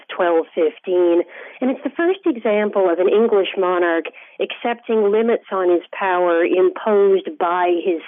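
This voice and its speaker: A woman historian from Canada answering interview questions in a measured way, with some hesitant restarts. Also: on the low side, dry recording. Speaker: historian guest. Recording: tinny phone-like line.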